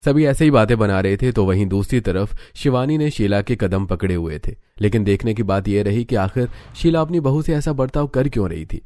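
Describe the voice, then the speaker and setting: A man's voice: on the low side, expressive, a little fast, few pauses, mellow, no room sound, clean studio sound. A man giving scripted, dramatic narration for a cartoon story, as its narrator.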